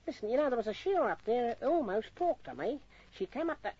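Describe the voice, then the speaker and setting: Whining, animated Australian sidekick. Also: male voice, extremely high pitch, fast, full character voice. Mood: uneasy. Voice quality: rough working-class speech. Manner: whining, stammering excuses. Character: cowardly, rough, chatty small-time sidekick. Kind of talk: old-time radio crime acting. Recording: muffled old radio recording.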